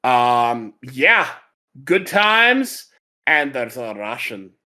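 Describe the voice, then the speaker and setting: A man with a medium-pitched, animated voice that swings up and down. He talks casually as a podcast host, rambling with open enthusiasm. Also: very slow. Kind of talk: casual chat about anime.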